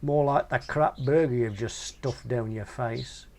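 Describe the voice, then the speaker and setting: A man reading his own crude first-person short story in a casual, slangy way. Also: unhurried. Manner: crude, casual narration with animated swings in pitch.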